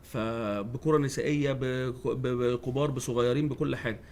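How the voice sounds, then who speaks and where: A man's voice: mid-range voice, steady tone, normal speed, a little mellow, slight background noise. A man in his forties with an Egyptian accent, a guest in a casual chat, who agrees often and repeats words as he restarts. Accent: Egyptian Arabic. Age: 40s. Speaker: guest, football coach.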